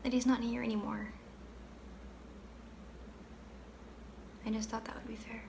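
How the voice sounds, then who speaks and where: Grieving, candid young girl, acted by a woman, higher-pitched, wistful conversational stage monologue, slightly noisy amateur recording. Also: little pitch movement, gravelly. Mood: hushed grief. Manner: conversational, wistful storytelling with pauses. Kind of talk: dramatic monologue.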